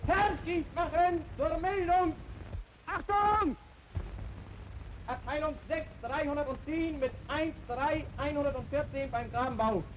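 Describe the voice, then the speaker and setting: A man's voice: very high-pitched, unhurried, long pauses. A man calling out clipped, barked military commands and reports in an old film. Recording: noisy, dull archive film sound.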